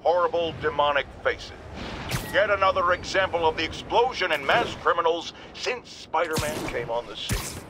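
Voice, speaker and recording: A pompous, blustering radio host ranting on the air, played by a man. His voice sits high for a man. Loud game action noise runs under it. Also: expressive, moderate pace, close to an everyday voice. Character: blustering middle-aged male radio host. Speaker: radio talk show host.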